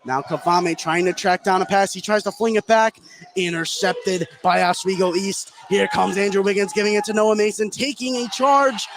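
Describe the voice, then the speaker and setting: High-voiced male basketball play-by-play commentator, noisy gym. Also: steady tone, normal speed. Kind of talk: live radio basketball commentary. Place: courtside in a high school gym. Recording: clearly noisy gym ambience. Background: clear gym noise.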